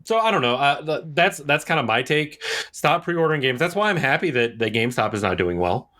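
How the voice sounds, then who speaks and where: A man with a medium-pitched voice talks casually as a podcast co-host. He is opinionated and leans hard on his points, often saying them again for stress. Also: lively intonation, a little fast, bright, forceful tone.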